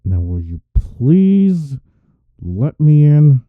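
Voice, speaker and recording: A man's voice: on the high side, sing-song, extremely slow, no room sound, full character voice. A man playing a tired, exasperated, sarcastic workplace rival in a romantic audio roleplay, muffled as if through a door. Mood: exasperated, weary. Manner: grumbling, sarcastic. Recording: muffled, phone-like narrow band, dry.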